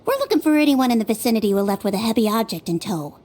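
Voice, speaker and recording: A woman voicing a gentle, analytical non-human detective who reasons aloud, in video game dialogue. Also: on the high side, big pitch swings, racing. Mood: thoughtful. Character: young female Melusine detective, analytical, gentle. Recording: game audio with soft music.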